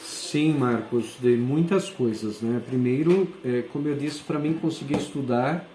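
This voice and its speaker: A man, a school principal answering interview questions and often pausing to search for words. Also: medium pitch, mellow, a little hiss or hum. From southern Brazil. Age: 40s. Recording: echoey room.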